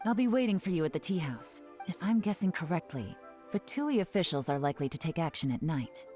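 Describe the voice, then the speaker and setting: Composed female spymaster, low cool voice, sly, commanding, faint music behind. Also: very animated, a little fast, dark tone. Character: cool, cunning female spymaster giving orders. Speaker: commander of agents. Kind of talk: game voice acting.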